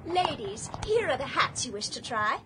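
An actress playing a deferential, flattering shopkeeper in a period audio drama, with a salesy manner. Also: female voice, high voice, moderate pace, a little hiss or hum, exaggerated voice. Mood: obliging. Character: obliging, flattering female Victorian hat shop keeper.

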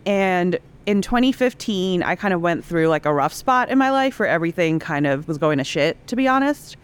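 A woman's voice: on the low side, expressive, normal speed, clear, resonant tone. A woman in her thirties, a cookbook author from Singapore, chatting casually as a podcast guest and filling her talk with "like". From Singapore, raised in Indonesia and Hong Kong. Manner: chatty, frequent "like" fillers, laughs easily.

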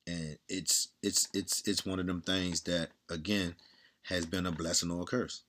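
A man talking bluntly and candidly in a casual monologue, with a strong, loud voice, repeating himself and swearing freely. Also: sits low, fairly even intonation, moderate pace, a little hiss or hum.

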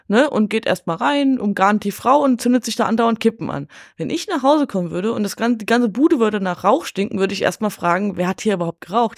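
A woman's voice: medium pitch, a little mellow, slightly rough, no echo. Casual, opinionated woman, dry close sound. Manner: colloquial, opinionated. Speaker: podcast guest. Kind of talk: casual film discussion.

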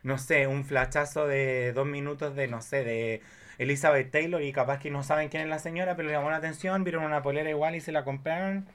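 A man's voice: fairly high pitch, fairly even intonation, brisk, a bit bright. A man in his thirties with a Chilean accent, chatting casually as a podcast guest in an effusive, rambling way. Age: 30s. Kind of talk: casual chat.

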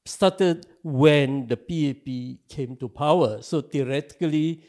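An older man from Singapore with a Singaporean English accent, giving slow, halting answers in an interview, full of filler pauses. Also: fairly high pitch, darkish tone. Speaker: interview guest, activist.

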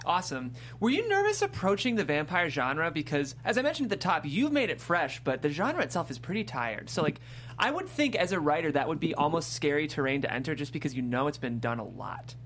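A man's voice: fairly high pitch, very animated, brisk, a bit bright, slight background noise. A man hosting a casual interview, affirming his guest and asking wordy questions. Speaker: interview host.

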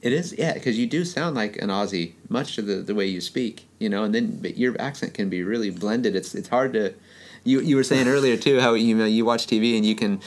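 A man's voice: lowish voice, brisk. A man interviewing a guest in a casual chat, enthusiastic and slangy, heaping on praise.

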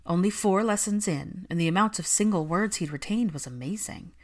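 A woman reading fan fiction as an audiobook narrator, in expressive, measured scripted storytelling. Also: lowish voice.

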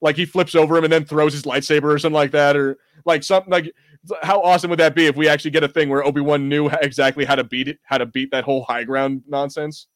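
A man's voice: on the high side, steady tone, quick pace, no room sound, clean studio sound. A man hosting a podcast, chatting casually about fandom in an enthusiastic, rambling, jokey way. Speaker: podcast host. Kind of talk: casual fan discussion.

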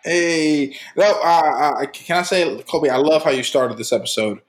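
A man's voice: a little high, moderate pace. A young man co-hosting a film podcast, playful and teasing. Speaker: podcast co-host. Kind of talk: casual chat.

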